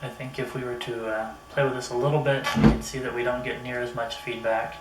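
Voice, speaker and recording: A man talks through a casual hands-on review in a middling pitch. His delivery is halting, as if thinking aloud. He sounds set back from the mic in a roomy, echoey space. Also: little pitch movement. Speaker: product reviewer. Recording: roomy echo, distant mic, faint noise.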